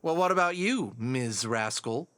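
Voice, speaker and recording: A man voicing a young, teasing, excitable fox adventurer in a cartoon audio drama. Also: mid-range voice, a little slow, soft background music. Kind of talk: animated audio drama acting.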